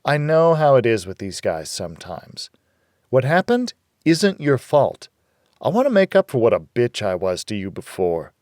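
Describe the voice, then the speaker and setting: A man's voice: mid-range voice, big pitch swings, normal speed, darkish tone, no room sound, clean studio sound, lightly acted. A man voicing a brash, scheming, foul-mouthed biker woman in an audiobook. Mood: feigned apology. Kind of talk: audiobook character voice.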